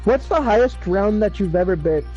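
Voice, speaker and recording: A man chatting casually during a game livestream, rambling with fillers and swearing, his voice going hoarse. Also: high voice, expressive, fairly quick, dark tone, highs cut off, soft background music. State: losing his voice. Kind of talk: casual chat.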